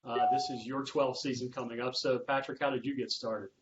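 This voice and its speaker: Male roundtable moderator and sports announcer, mid-pitched, conversational, on muffled lo-fi audio. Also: flat delivery, fairly quick. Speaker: roundtable host and sports public address announcer.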